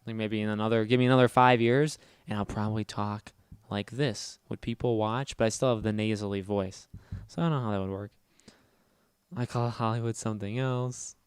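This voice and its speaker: Nasal, fast-talking man streaming. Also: on the low side, steady tone, clean tone, dry recording, clean studio sound. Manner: fast, loud talker; chatty and rambling, reads and answers chat. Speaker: livestream host. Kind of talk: casual livestream chat while gaming.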